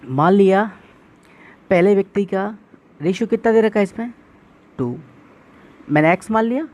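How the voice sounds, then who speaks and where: A man's voice: sits high, expressive, moderate pace, darkish tone. Man teaching maths step by step. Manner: explains step by step, asks and answers his own questions; calls the viewers friends. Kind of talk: exam-prep maths lesson.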